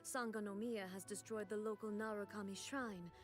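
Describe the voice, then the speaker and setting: A woman's voice: medium pitch, fairly even intonation, natural voice. Woman playing a regal, stern immortal ruler. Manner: formal, measured, stately phrasing. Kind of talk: scripted video game character voice lines. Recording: game audio with music behind. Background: music.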